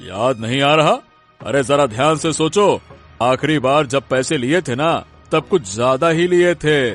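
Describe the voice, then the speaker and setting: A man's voice: mid-range voice, unhurried, fairly bright tone, faint music behind, natural voice. A man voicing a sly village land-grabber in a cartoon story, taunting and condescending. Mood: smug.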